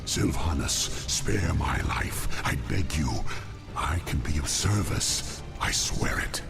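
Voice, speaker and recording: Demon dreadlord: extremely deep, gravelly, slow, scheming and formal, for a fantasy video game. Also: male voice, lively intonation, over music, full character voice. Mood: pleading. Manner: smooth-worded, formal, menacing. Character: scheming male demon dreadlord, oily and menacing. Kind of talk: video game cutscene acting.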